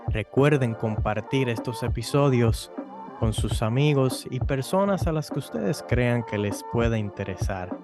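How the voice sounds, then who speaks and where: A man's voice: medium pitch, animated, measured pace. Podcast host man with music behind. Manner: friendly, informal questions. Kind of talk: podcast hosting and interviewing.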